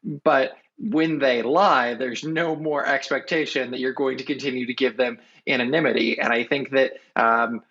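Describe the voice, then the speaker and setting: American male correspondent, bright forceful voice, measured earnest commentary, muffled lo-fi recording. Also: mid-range voice, fairly even intonation. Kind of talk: conversational political commentary. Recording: dull, lo-fi audio.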